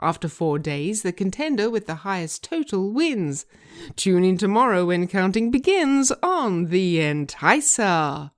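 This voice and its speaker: A woman's voice: mid-range voice, sing-song, measured pace. A woman voicing a glib, upbeat reality television host with emphatic presenter projection, in a screenplay reading. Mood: showy, emphatic.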